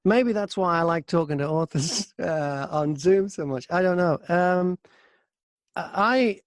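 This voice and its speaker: A middle-aged man, a broadcaster and narrator, telling stories in a casual, animated, wry interview style. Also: high-pitched, measured pace, no echo, studio quality. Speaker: audiobook narrator and radio broadcaster.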